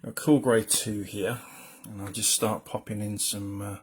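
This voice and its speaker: Low, husky, mumbling man. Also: flat delivery, a little slow. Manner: rambling, self-deprecating asides. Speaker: comic colorist streaming a drawing. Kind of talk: casual live drawing commentary.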